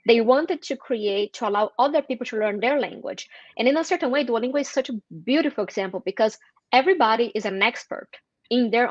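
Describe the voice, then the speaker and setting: A woman guest in an interview, speaking thoughtfully with frequent "like" fillers and slowing down for emphasis. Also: fairly high pitch, dry recording, muffled recording. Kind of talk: interview conversation.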